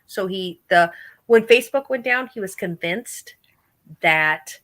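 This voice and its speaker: A woman from Oregon with a high voice, chatting casually in a group call, blunt, animated and swearing freely. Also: unhurried. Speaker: guest in a group chat.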